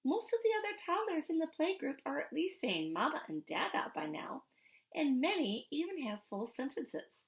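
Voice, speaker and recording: Practical, gently worried storybook mother played by a female reader. Higher voice, animated, careful, earnest phrasing. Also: normal speed, heavily acted. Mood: concerned. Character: gently concerned scientist mother, thoughtful and practical. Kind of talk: storybook character reading.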